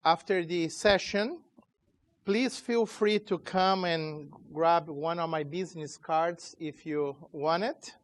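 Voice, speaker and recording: Chilean-born guy, slightly high voice, loose halting english at a tech talk. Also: steady tone. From Chile, raised in Brazil. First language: Portuguese. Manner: informal, halting, loose grammar. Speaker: conference speaker, company CEO. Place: conference room.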